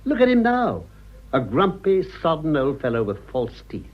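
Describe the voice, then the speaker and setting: Suave old English cynic, raspy, sardonic, radio play, slightly muffled sound. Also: male voice, fairly high pitch, wildly swooping pitch, moderate pace. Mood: scornful. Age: older. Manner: worldly, sardonic, polished. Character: cynical, urbane old English gentleman, amused and cutting. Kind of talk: radio drama acting.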